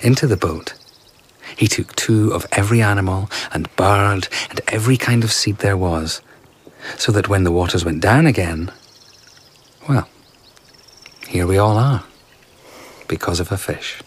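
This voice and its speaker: An actor playing an enigmatic, flirtatious traveller in a radio drama, telling tales in an unhurried, playful way. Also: male voice, low voice, breathy edge. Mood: playful, storytelling. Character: enigmatic, charming, flirtatious well-travelled male storyteller of uncertain age. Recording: outdoor garden ambience with insect chirps.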